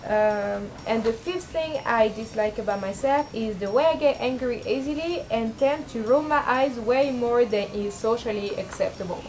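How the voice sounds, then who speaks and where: A young woman with a low speaking voice, rambling and self-deprecating in a casual confessional vlog, full of fillers and restarts. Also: moderate pace, few pauses, darkish tone, soft background music, low-quality recording. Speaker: vlogger.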